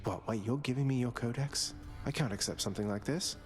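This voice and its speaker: An actor playing a cheeky, rebellious young prince in a fantasy game, speaking in a dry, casual way. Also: male voice, mid-range voice, fairly quick, music in the background, lightly acted. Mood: warm.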